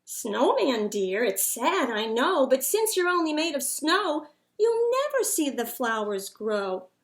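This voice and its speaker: A storybook cat played by a woman reader, matter-of-fact with a touch of pity. The voice is high and the delivery unhurried. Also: sing-song, full character voice. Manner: meowing, chanting rhymed warnings. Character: matter-of-fact street cat, gently pitying, from a picture book.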